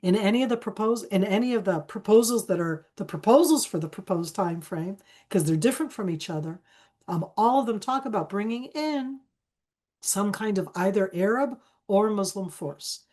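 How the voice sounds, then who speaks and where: A woman's voice: mid-range voice, lively intonation, moderate pace, darkish tone. An Israeli woman with a cold, speaking as a guest analyst in an online Q&A, emphatic and repeating key phrases. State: has a cold. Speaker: guest speaker on a webinar panel.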